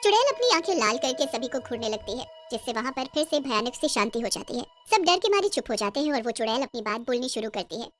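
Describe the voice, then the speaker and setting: A woman with a high voice narrates a scripted horror cartoon tale. Soft music plays underneath her storytelling. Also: sing-song, quick pace, hardly any pauses.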